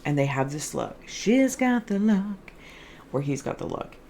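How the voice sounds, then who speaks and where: A woman hosting an improvised spiritual card and scrying reading, soft-spoken, with a dreamy, meandering, alliterative way of talking. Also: deep voice, sing-song, a little slow. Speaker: host, tarot and scrying reader.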